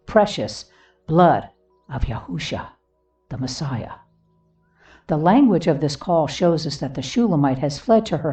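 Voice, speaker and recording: Woman reading religious audiobook, really mellow and earnest, music behind, mic kinda distant. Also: deep voice. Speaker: audiobook reader. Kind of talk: expressive devotional book reading.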